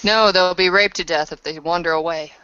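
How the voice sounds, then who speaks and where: Female tabletop player, slightly low register, conversational in-character delivery, muffled recording. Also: steady tone, a little fast, pushed and bright, clear, resonant tone, dry recording. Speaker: player in a tabletop roleplaying game. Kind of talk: casual in-character roleplay chat. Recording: dull, lo-fi audio.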